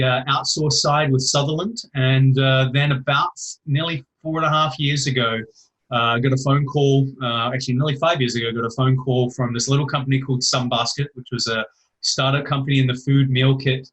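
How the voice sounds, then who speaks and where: A middle-aged man with a New Zealand accent, a podcast guest answering questions casually. He stops often to say "uh" and fixes his own slips as he goes. His voice sits at an ordinary middle pitch for a man. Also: steady tone, fairly bright tone, low-quality recording. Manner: halting, many "uh" and self-corrections. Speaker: guest, customer service executive.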